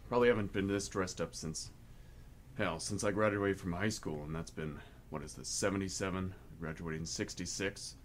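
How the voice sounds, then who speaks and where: A man acts out a world-weary mercenary in a radio play, his voice low and gruff. He speaks bluntly and colloquially, mocking himself. Faint noise sits behind the monologue. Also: fairly even intonation, moderate pace, natural voice. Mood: uneasy grumbling.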